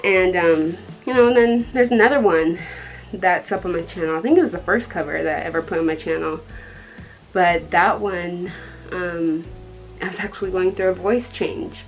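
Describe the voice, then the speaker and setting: A young woman from Colorado with a dark tone, chatting casually to the camera in a rambling way, with many ums and soft laughs. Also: on the low side, normal speed, faint music behind, rough recording. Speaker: vlogger.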